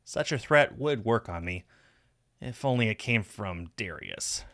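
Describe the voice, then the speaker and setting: A man's voice: fairly low pitch, lively intonation, unhurried, a bit bright. A man streaming a game and narrating its visual novel text aloud.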